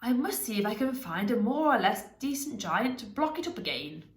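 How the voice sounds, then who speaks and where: A woman's voice: on the high side, very animated, moderate pace, echoey room, pitched above the performer's own voice. A woman voicing a clever, proud old male wizard in a storybook, speaking in an emphatic, commanding, confident way. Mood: wry, determined.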